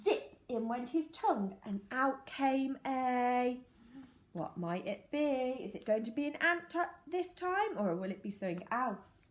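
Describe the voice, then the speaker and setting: A woman's voice: on the high side, expressive, a little slow. A woman teacher reading a picture book to young children, telling the story expressively and putting questions directly to her listeners. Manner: questioning, addressing children directly, clearly paced. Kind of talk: animated children's storytelling and lesson. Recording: echoey room.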